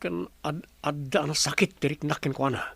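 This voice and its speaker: A man's voice: a little high, fairly even intonation, normal speed, gravelly, a bit bright, slightly rough, no echo, full character voice. A man voicing a jealous, short-tempered young businessman with an Ilocano accent. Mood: scheming. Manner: short-tempered, scolds his servant.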